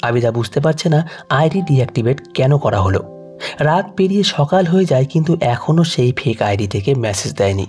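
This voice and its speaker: A man's voice: mid-range voice, animated, normal speed, a little mellow, faint music behind. A man reading scripted narration for an emotional audio story.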